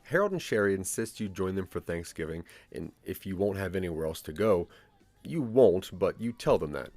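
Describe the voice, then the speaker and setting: A man narrating a scripted second-person audio drama as a measured, dramatic storyteller. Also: deep voice, darkish tone, no room sound.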